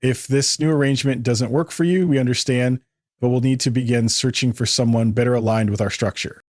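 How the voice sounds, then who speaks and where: Man reading as a quiet, formal HR woman. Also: medium pitch, steady tone, brisk, no room sound, studio quality, natural voice. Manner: quiet, formal corporate delivery. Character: quiet, formal female human resources officer.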